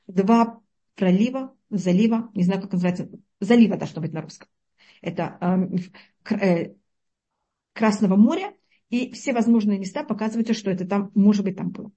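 A woman from Uzbekistan teaching a religious lesson, explaining slowly, searching for words and asking her listeners questions. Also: medium pitch, dark tone, husky.

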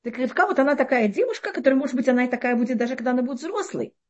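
Brisk, high-pitched woman teaching Torah. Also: dull audio. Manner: animated explaining with frequent restarts and rhetorical questions. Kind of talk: interactive religious class.

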